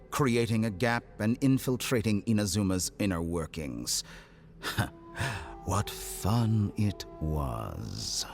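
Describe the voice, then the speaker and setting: Casting: game villain, a cold, condescending doctor, low-voiced, extremely animated, quick. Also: male voice, creaky, heavily acted. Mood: smug, amused. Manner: theatrical, condescending, mock-polite. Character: suave, mocking, coldly theatrical villainous male doctor. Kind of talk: dramatic video game voice acting.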